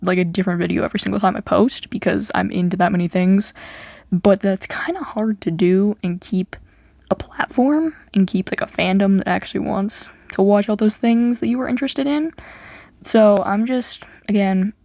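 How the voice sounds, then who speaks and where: A woman's voice: mid-range voice, normal speed, gravelly, darkish tone, no echo. Woman rambling through an unscripted voiceover. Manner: rambling, many "like" fillers and restarts. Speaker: YouTuber. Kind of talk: unscripted voiceover over a game speed build.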